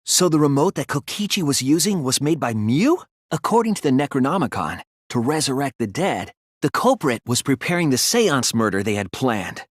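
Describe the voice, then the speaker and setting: A man playing an earnest, analytical young male detective in an English video game dub, reasoning deliberately and articulately. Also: mid-range voice, big pitch swings, no echo, studio quality. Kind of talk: English game dub, dramatic courtroom deduction.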